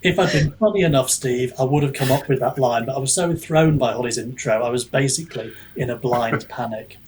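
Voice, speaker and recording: Male podcast guest, writer type, mid-pitched, conversational and modest, with audible noise behind the voice. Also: a little fast. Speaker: guest author, fantasy writer and book reviewer. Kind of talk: casual podcast interview.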